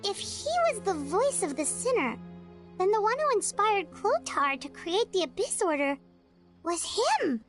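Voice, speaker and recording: A squeaky, chirpy little companion. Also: female voice, big pitch swings, unhurried, a bit bright, heavily acted. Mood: puzzling. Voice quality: squeaky, bouncy and eager. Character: small, chirpy, curious girlish companion. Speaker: game character. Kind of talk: video game voice acting. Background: faint game music.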